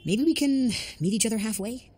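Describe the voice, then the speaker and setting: A woman's voice: fairly high pitch, dark tone, breathy edge. A woman voicing Tino, a laid-back, wisecracking teenage boy in a cartoon. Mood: easygoing. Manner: quick, wisecracking. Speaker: cartoon character.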